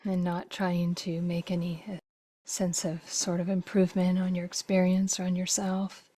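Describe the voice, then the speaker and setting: Soft husky lady doing guided meditation, mellow and flat, kinda whispery, unhurried. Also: lowish voice. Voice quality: soft, breathy, husky voice, often trailing into a whisper. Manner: slow, gentle, unhurried phrases with long pauses. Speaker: meditation teacher.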